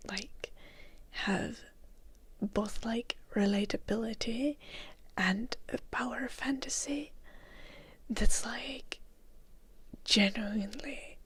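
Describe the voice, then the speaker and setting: A woman's voice: a little high, slow, long pauses, a bit bright, breathy edge, dry recording. A woman from Finland, a native Finnish speaker, whispering casual, rambling ASMR chat to her listeners as a live streamer.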